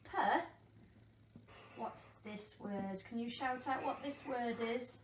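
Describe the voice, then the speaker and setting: A woman teaches early phonics to small children at a very unhurried pace. Her voice sits fairly high, and she encourages her pupils with simple questions and waits for them to answer. The room is noticeably echoey. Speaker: primary school teacher. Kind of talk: interactive phonics lesson for young children. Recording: echoey room with faint background noise.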